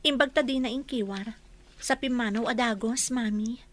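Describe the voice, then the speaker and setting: A woman's voice: on the high side, normal speed, lightly acted. An actress playing a dutiful but firm young married woman in a radio drama, pointed and insistent as she justifies herself. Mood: insistent, aggrieved. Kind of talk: Ilocano radio drama acting.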